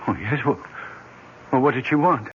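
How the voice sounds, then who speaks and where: A man's voice: medium pitch, lightly acted. An actor playing a kindly, grieving old father who stammers and repeats himself in an old-time radio drama. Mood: confused, pained. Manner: halting, stammering, repeating words. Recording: dull, faintly noisy vintage radio recording.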